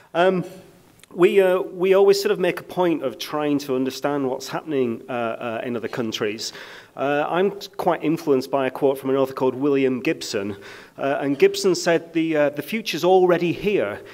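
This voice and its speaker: A man's voice: mid-range voice, darkish tone. A British man giving a conference keynote speech on a stage, talking with frequent ums and uhs and a self-deprecating touch. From United Kingdom. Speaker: keynote speaker. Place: conference stage under lights.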